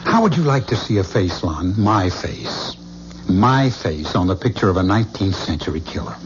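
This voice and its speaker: An actor playing a troubled man haunted by visions of a killer, intense and halting when shaken, in a 1970s radio drama. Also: low voice, expressive. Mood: grim. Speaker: lead character. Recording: dull lo-fi radio broadcast with faint hiss.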